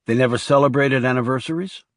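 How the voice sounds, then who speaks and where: A man's voice: medium pitch, quick pace, dry recording, clean studio sound, close to an everyday voice. A man voicing a guarded, blunt male assassin in terse audiobook dialogue. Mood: probing. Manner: terse, questioning.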